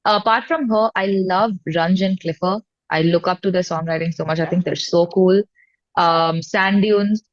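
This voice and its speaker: Indian woman singer being interviewed, kinda low voice, casual n gushy, dull lofi audio. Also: steady tone, moderate pace. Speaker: singer-songwriter guest.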